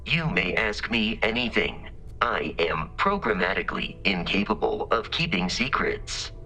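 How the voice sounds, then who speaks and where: A courteous robot companion from a video game, low and crisp-edged. It speaks formally and precisely, with literal-minded dry humor in a deadpan delivery, over faint music. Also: male voice, a little slow, full character voice. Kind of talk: scripted video game character dialogue.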